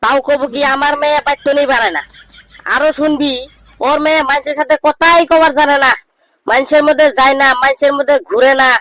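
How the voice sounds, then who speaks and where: A man voicing a cheeky, boastful young village know-it-all in a comedy cartoon, with a rural Bangladeshi accent and a pitched-up voice. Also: steady tone, fast talker, fairly bright tone, no echo, low-quality recording. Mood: mocking, emphatic. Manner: bragging, teasing, declamatory.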